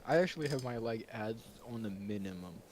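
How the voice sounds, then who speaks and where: A man's voice: mid-range voice, expressive, a little fast, a little mellow, a little hiss or hum. A man whose first language is Georgian, hosting a stream in casual chat, laughing often and rambling with fillers. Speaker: stream host.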